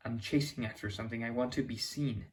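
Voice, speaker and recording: A man's voice: medium pitch, fairly even intonation, fast talker, darkish tone. Young Mexican-accented man, mid-range voice, thinks aloud in a loose vlog ramble with frequent restarts. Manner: rambling, searching for words, many restarts. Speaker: YouTuber. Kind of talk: unscripted reflective monologue.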